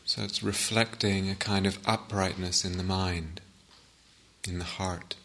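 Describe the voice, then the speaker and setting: A man giving guided meditation instructions as a teacher, gently and unhurriedly, with long pauses. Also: low voice, steady tone.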